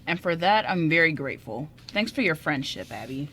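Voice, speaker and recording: A woman's voice: deep voice, big pitch swings, normal speed, fairly bright tone, slight background noise, exaggerated voice. A woman playing a shy, smitten young man in an amateur radio drama, speaking in a hesitant, stammering way. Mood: grateful, hesitant.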